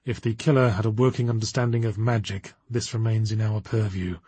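A man voicing a cool, unflappable female occult detective in an audiobook, speaking in a measured, bored way. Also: mid-range voice, steady tone, darkish tone, slightly rough, no room sound.

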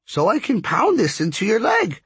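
A man's voice: high voice, huge pitch swings, normal speed, fairly bright tone, breathy edge, dry recording, pitched above the performer's own voice, exaggerated voice. A man voicing a bossy, impatient and ancient female spirit who forges lightning, scolding and commanding in an audiobook story. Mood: brusque. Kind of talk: acted audiobook dialogue.

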